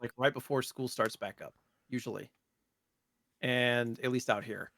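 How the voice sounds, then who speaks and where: A man's voice: mid-range voice, fairly even intonation, a little slow, creaky, fairly bright tone, dry recording. A man with an American accent in casual conversation, co-hosting a gaming podcast. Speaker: co-host of a retro gaming podcast. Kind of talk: casual chat about video games.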